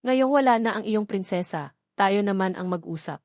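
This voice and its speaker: A woman's voice: medium pitch, lively intonation, normal speed, darkish tone, dry recording, clean studio sound, natural voice. A woman voicing a smug, threatening young male heir in an audiobook drama. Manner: taunting, threatening. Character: menacing, smug young man, rich heir and rival brother. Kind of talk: audiobook character dialogue.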